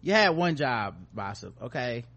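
A man's voice: medium pitch, sing-song, normal speed, fairly bright tone. Casual, joking man hosting a podcast. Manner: slangy, profane, joking. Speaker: podcast host. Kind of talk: casual comedic commentary.

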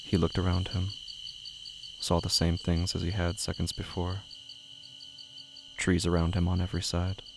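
A man narrating scripted horror slowly, in a hushed, deliberate, ominous manner. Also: sits low, completely flat delivery, vocal fry, noisy background. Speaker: horror fiction podcast narrator.

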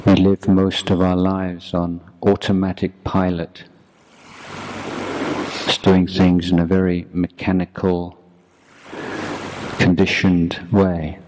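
A man guiding a meditation as a teacher, speaking in a measured, deliberate way in short phrases with long pauses. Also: low voice, monotone. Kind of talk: guided meditation talk. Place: youth retreat. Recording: clearly noisy background.

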